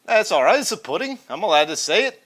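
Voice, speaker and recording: A man's voice: high-pitched, sing-song, quick pace, a bit bright. Man hamming a fake Australian-British accent. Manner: hammy put-on British-Australian accent, slang-heavy. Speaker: co-host. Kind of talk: joking banter.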